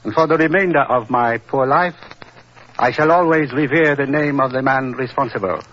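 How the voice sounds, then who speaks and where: A solemn, aged prince, played by a man, speaks in measured, courtly phrases at a medium pitch. The vintage radio recording is muffled, with faint hiss. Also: fairly bright tone, natural voice. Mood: solemn, grateful. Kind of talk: 1940s radio drama acting.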